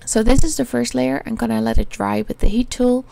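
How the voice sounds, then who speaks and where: Gravelly Dutch-accented woman crafter. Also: on the high side, fairly even intonation, fairly quick, warm tone, no room sound. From Netherlands. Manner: hesitant, many ums while searching for words. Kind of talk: crafting tutorial voice-over. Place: home attic.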